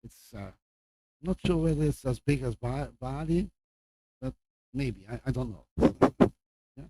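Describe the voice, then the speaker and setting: Older male guest professor, Flemish Belgian accent, mid-pitched and very mellow, addressing an audience in an informal, halting lecture style. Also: animated, rough recording. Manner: halting, with repeated words and asides.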